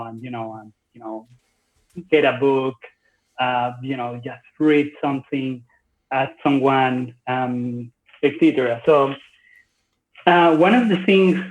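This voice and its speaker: A man of forty from Venezuela, telling his story casually as a podcast guest in a halting, thoughtful way over somewhat dull audio. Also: medium pitch, fairly even intonation. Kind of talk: casual personal storytelling in interview.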